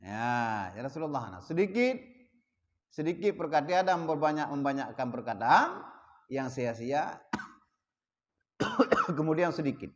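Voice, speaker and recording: A man with an Acehnese accent leads a religious study session, his fairly high voice rising and falling with lively energy. He slows down to chant Arabic passages, then breaks into quick explanation that keeps checking in with the room. The sound carries a long hall reverb. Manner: mixes plain explanation with slow chanted Arabic readings; asks the audience rhetorical questions. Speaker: religious teacher. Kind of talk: kitab study lesson. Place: prayer hall.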